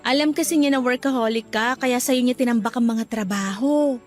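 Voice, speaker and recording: A woman with a Filipino accent playing a gentle, anxious young office worker in a radio drama. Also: on the high side, a little fast, soft background music, lightly acted. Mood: shy, friendly.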